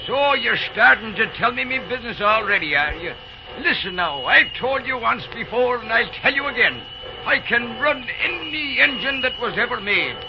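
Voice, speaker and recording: An actor playing a brash, boastful Irish railroad engineer in an old-time radio drama, blustering and scolding with an Irish accent. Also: male voice, very high voice, expressive, moderate pace. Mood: blustering, impatient. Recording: muffled, noisy old radio recording.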